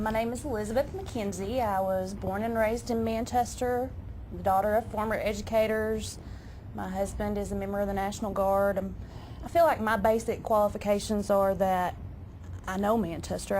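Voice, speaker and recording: A woman's voice: mid-range voice, fairly even intonation, normal speed, darkish tone, a little hiss or hum, poor audio. A woman in her thirties running for city council, speaking plainly and in a friendly way on a local talk show.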